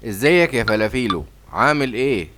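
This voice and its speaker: A man's voice: medium pitch, expressive, fairly quick, loud music behind the voice, lightly acted. A man playing a hasty Egyptian lion dad. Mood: eager. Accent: Egyptian Arabic. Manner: hasty, eager to please. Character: hurried, obliging father lion, king of the jungle.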